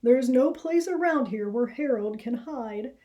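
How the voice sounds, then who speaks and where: A solemn storybook father rabbit, played by a woman, full of worry. The words come slowly and with firm emphasis. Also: high voice, lively intonation, warm tone, muffled recording. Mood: worried.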